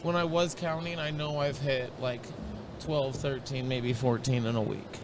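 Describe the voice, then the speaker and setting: A man's voice: mid-range voice, steady tone, normal speed. A man, a comedian, chatting and teasing friends outdoors. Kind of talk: casual chat. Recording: noisy outdoor recording.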